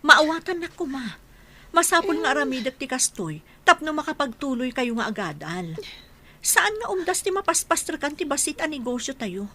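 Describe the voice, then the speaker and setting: A woman's voice: sits high, big pitch swings, moderate pace, pushed and bright, full character voice. A woman playing a self-sacrificing wife and mother, earnest and imploring, in a radio drama. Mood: earnest, consoling.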